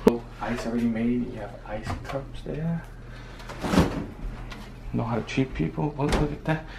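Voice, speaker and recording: A young man giving a joking, relaxed tour of a small apartment for a vlog. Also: mid-range voice, measured pace, vocal fry. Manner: joking, relaxed, plays host. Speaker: vlogger. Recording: noisy handheld home video.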